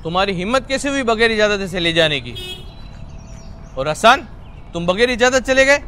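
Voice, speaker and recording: A man's voice: sits high, lively intonation, moderate pace, bright, forceful tone. A man playing an authoritative but caring father and employer in a moral drama, with faint ambient noise. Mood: stern, angry. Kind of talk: acted dialogue in a moral drama.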